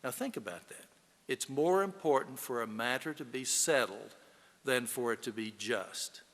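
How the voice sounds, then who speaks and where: A man delivers a eulogy in a big, echoing hall. His voice is bright and forceful, and he tells folksy stories with emphasis, speaking straight to the people gathered. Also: mid-range voice, animated, moderate pace. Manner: folksy, storytelling, emphatic, addressing the audience. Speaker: eulogy speaker, fellow lawyer. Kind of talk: eulogy speech with folksy anecdotes. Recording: echoey hall with long reverb.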